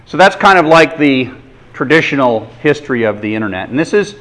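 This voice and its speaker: A man lecturing a university class in a relaxed, conversational way, with self-deprecating jokes. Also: medium pitch, brisk. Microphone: microphone adjusted during talk.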